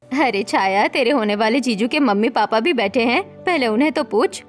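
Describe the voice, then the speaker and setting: Woman acting a high-voiced, scolding family woman. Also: expressive, moderate pace, faint music behind, close to an everyday voice. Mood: chiding. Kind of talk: Hindi animated story character voice.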